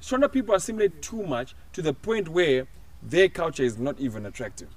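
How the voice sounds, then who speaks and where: A man with a Zimbabwean accent co-hosting a casual podcast, animated, jumping in over his co-host and switching between English and Shona. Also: fairly high pitch, a little fast, recorded from a distance.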